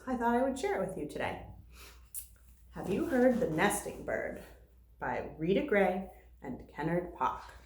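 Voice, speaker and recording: A woman teacher reading a picture book aloud to young children in an expressive, deliberate way. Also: lowish voice. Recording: roomy, echoing sound.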